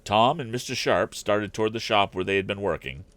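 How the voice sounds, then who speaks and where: A man's voice: on the low side, brisk, fairly bright tone, no echo. A man reading a storybook aloud as host, narrating expressively.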